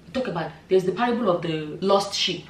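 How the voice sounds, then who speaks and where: A woman's voice: on the low side, a little fast, slight background noise. Nigerian woman vlogging in a roomy room. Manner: animated, gesturing, rhetorical questions. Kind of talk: opinionated commentary to camera. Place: bright room indoors, seated in chair. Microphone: far camera. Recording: roomy echo, mic at a distance.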